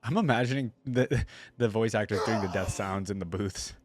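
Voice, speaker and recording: A man's voice: fairly low pitch, normal speed, music in the background. A man hosting a reaction video, chatting informally and slangily as he muses aloud. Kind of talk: casual chat, reacting to game voice lines.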